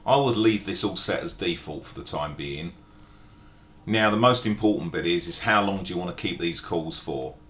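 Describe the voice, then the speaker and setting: A man narrating an informal step-by-step software tutorial in a methodical, explanatory way. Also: normal speed. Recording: echoey room, background hiss and rumble.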